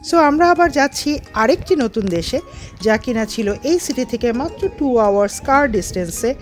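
A woman in her thirties with a Bengali accent talks in a bright, slightly high voice. She narrates a road trip from inside a car, recorded on a handheld phone, and speaks straight to her viewers. Soft music plays underneath her voice. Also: big pitch swings, brisk. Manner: chatty, addresses viewers directly. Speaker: travel vlogger. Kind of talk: casual travel vlog narration.

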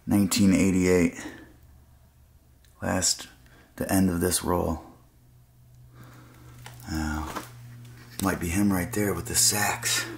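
A man quietly narrating as he walks through an abandoned building, often dropping to a hushed half-whisper. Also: deep voice, fairly even intonation, takes it slowly, vocal fry, long pauses. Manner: hushed, half-whispered remarks while exploring. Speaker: urban explorer vlogger. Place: abandoned funeral home indoors. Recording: noisy camera audio.

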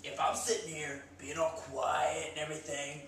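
Cocky gym bro guy, kinda high voice, talking from across a boomy room. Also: steady tone, normal speed, a bit bright, a little hiss or hum. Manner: cocky meathead bravado. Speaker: comedy sketch host. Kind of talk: mock fitness advice. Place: small indoor room against a bare wall. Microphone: far camera. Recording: echoey room from far off.

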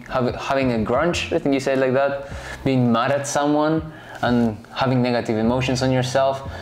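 A man in his late twenties whose first language is Spanish, talking straight to camera in a casual, direct way with offhand asides. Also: mid-range voice. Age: late 20s. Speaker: vlogger. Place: bright home room, indoors.

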